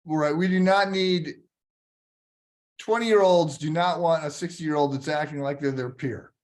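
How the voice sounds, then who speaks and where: Chatty man in his sixties, slightly high voice. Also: measured pace, a little mellow. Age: 60s. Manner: chatty, anecdotal, asks layered follow-up questions. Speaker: interview host. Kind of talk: casual interview conversation.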